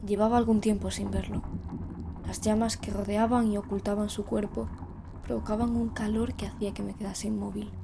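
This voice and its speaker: A woman's voice: mid-range voice, flat delivery, fairly quick, gravelly, roomy sound, background noise, close to an everyday voice. A woman playing a lonely, fearful teenage girl in an audio drama, with an introspective, confiding manner. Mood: awed, uneasy. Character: lonely, fearful teenage girl narrating her secret visions. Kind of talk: first-person audio drama narration and dialogue.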